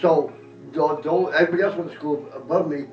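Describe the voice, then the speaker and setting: Higher-voiced man of fifty, far from mic. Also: fast talker, darkish tone. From Portugal, moved to America as a young child. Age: 50s. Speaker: interviewee, one of many siblings. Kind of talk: casual interview recollection. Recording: echoey, distant mic, music underneath. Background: faint music.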